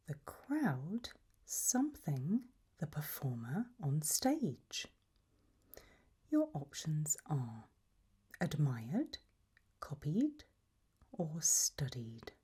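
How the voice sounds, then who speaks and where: A woman doing a whispered ASMR roleplay as an examiner, hushed and deliberate, pausing between short phrases. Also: sits low, very animated, slightly rough. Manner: slow, deliberate, softly instructive with long pauses between options.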